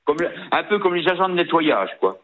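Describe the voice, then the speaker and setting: A man's voice: on the high side, big pitch swings, a little fast. Older man with a regional accent on a phone line. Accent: Vosges French. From Vosges, France. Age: 60s. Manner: hesitant, many fillers and restarts. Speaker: caller. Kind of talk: phone-in call to a radio show.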